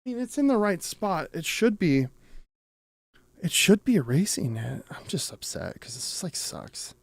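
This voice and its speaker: Creaky-voiced man rambling casually. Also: high voice, very animated, normal speed, no echo, studio quality. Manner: rambling, self-interrupting, swears casually; thinks aloud. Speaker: streamer and audio tinkerer. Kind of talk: casual livestream chat while repairing gear.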